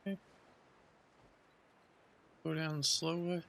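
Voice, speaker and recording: A man's voice: a little high, level tone, slow, vocal fry, a bit bright, slight background noise. Casual male gamer with a lightish voice. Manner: offhand, thinking aloud in short remarks. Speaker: gamer playing through a story quest. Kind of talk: casual gameplay commentary. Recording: game music behind. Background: game music.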